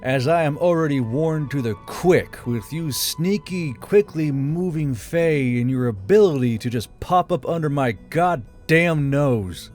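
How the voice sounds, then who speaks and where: A man's voice: fairly high pitch, lively intonation, a little slow, hardly any pauses, a little mellow, faint music behind. A man voicing a blunt, weary male official with a stern, growling delivery in an audiobook. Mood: blunt, irritated. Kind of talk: audiobook character voice.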